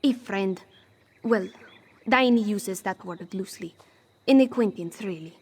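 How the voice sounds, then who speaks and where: A woman's voice: medium pitch, unhurried, a little mellow, husky. A woman playing a wry, proud cat-folk smuggler with a theatrical, sardonic manner in scripted fantasy game dialogue. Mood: wry, resigned. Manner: theatrical, sardonic, speaks of herself in third person.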